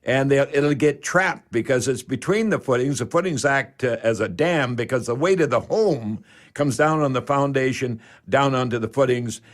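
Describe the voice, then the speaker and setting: Folksy older man hosting radio. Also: mid-range voice. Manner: folksy, halting, repeats himself. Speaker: radio call-in show host, home repair expert. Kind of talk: conversational radio advice, explaining to listeners.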